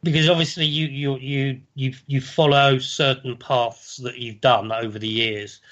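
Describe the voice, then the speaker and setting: A man's voice: medium pitch, fairly even intonation, a little slow, a bit bright, dull audio. Stuffed-up man co-host, hesitant. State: bunged up with a cold.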